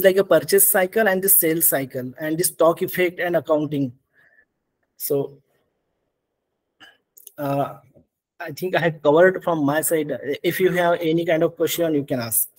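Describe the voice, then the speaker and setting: A man with an Indian accent walking through software slides as a webinar presenter, in halting, repetitive phrasing. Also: on the high side, rough recording. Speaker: webinar presenter, ERP consultant. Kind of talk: software demonstration and slide walkthrough. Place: indoor room, on a video call.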